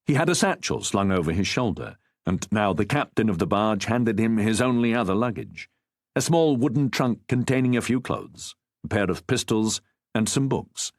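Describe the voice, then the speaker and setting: A man narrating a historical novel as an audiobook, with a measured, formal storytelling delivery. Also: fairly low pitch, animated, dry recording, studio quality.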